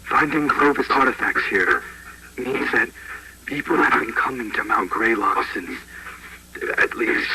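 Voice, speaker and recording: Solemn archaeologist on a very noisy tape, acted. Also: male voice, mid-range voice, vocal fry. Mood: grave, awed. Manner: measured, formal, pausing between phrases. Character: sober archaeologist recording an uneasy log of discoveries. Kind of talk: 1980s found-tape horror monologue. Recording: very noisy old tape log.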